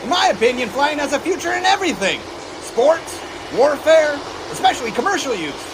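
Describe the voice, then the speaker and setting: Jaunty, high-voiced 1920s test pilot. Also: male voice, very animated, a little fast, background noise, full character voice. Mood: eager, confident. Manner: folksy, jaunty, enthusiastic. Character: cheerful, eager 1920s American test pilot, fond of teasing. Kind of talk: period audio drama acting.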